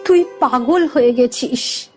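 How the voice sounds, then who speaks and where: A woman's voice: sits high, slow, mellow, faint music behind. An actress voicing an anxious, long-suffering old village mother in a fairy-tale cartoon, fretful and pleading. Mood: alarmed, pleading.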